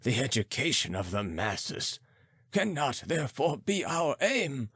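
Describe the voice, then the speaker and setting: A man narrating an audiobook, reading formal philosophical prose in a measured, oratorical way. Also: medium pitch, sing-song, fairly bright tone, slightly rough, dry recording, clean studio sound.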